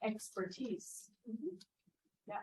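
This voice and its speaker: A woman's voice: a little high, big pitch swings, takes it slowly, darkish tone, a little hiss or hum. A middle-aged woman leading a teaching workshop in a classroom, engaging the group with questions and echoing their answers. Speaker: workshop presenter.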